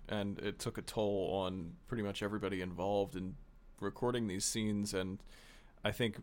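A man hosting a podcast's behind-the-scenes chat in a relaxed way, with lots of fillers. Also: lowish voice. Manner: relaxed, filler-heavy, laughs often. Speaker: podcast producer and host.

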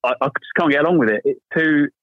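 Male podcast guest, rapid talker, muffled dry remote audio, hesitant but loose. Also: fairly high pitch. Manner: relaxed after a few beers, hesitant, searches for words. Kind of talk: casual podcast chat.

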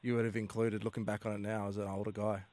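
A male co-host asks questions quickly and casually, stumbling and restarting often. His voice sits mid-range and sounds close and dry. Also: fairly low pitch, level tone, no room sound. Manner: warm, casual, many fillers and restarts. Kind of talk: casual interview chat.

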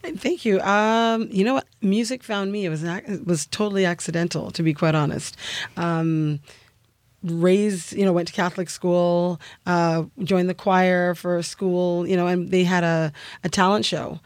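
A woman's voice: on the low side, steady tone, normal speed, dry recording. A middle-aged woman from Canada, a singer telling her story in an interview, chatty with many fillers and laughs. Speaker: singer guest.

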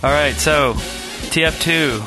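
A man's voice: on the high side, takes it slowly, over music. A man co-hosting a podcast in easygoing, informal chat. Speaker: podcast co-host. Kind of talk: casual chat.

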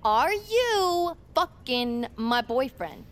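A woman's voice: high-pitched, very animated, very slow, heavily acted. An actress playing a brash, foul-mouthed, volatile girlfriend in an audio drama, with a blunt, mocking, bossy delivery. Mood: confrontational.

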